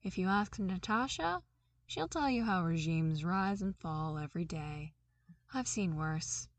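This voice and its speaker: A woman's voice: lowish voice, animated, measured pace, no room sound. A woman reading aloud and voicing a patient, nonchalant female agent in a level tone. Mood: calm. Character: calm, unflappable female agent.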